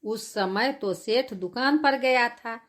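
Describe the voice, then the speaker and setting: A woman narrating a folk tale as storyteller, halting and repeating phrases as she goes. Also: a little high.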